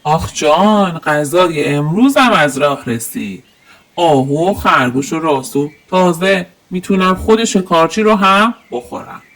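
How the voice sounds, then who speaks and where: A woman voicing a fat, lazy male wolf in a storybook, loud, bullying and domineering. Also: low voice, pitched below the performer's own voice. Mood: greedy, gloating.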